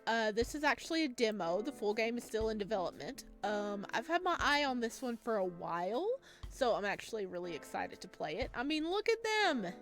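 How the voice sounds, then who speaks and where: A woman doing let's play commentary, reading story text expressively and gushing excitedly in her asides, with game music behind her. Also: on the high side, brisk, hardly any pauses. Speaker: host of a game playthrough. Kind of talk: let's play commentary with read-aloud narration. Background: plucked guitar game music.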